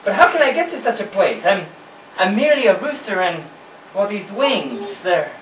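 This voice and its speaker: A woman's voice: medium pitch, very animated, moderate pace, a bit bright, recorded from a distance, slight background noise. A woman voicing an anxious male rooster who talks in a hesitant, stammering way, in an amateur home puppet show. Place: indoor room with a puppet stage. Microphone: far camera. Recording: roomy home video from afar.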